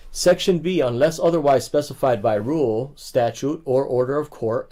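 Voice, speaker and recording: Young man, livestream host, casual reading of legal text, mellow dark tone, middle pitch. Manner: reads aloud in bursts, chats with viewers between passages.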